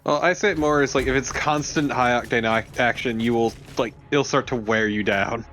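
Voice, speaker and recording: A man's voice: medium pitch, normal speed, fairly bright tone. Man bantering over noisy gameplay. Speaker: let's play co-host. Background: video game sound.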